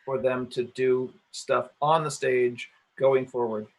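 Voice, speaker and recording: A man who writes radio plays, talking casually and haltingly in a group discussion, often repeating words. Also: medium pitch, steady tone.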